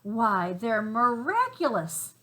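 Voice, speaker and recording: A woman's voice: on the high side, very animated, takes it slowly, bright, forceful tone, heavily acted. A woman voicing eager, busy little female monkeys in a storybook reading. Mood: amazed.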